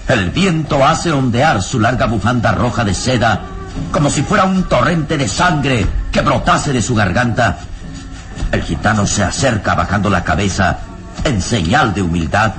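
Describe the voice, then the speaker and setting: A man's voice: mid-range voice, expressive, moderate pace, over music. A man narrating an old radio serial in a solemn, literary, dramatic storytelling style.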